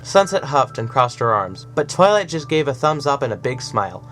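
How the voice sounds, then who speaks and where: A man narrating a story in an expressive, animated reading. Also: mid-range voice, moderate pace. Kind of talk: audiobook reading of fan fiction. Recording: soft music behind.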